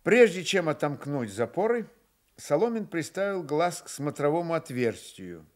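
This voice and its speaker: An actor narrating a dramatised historical novel for radio, measured and wry, with deadpan irony. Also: male voice, on the high side. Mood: deadpan narration.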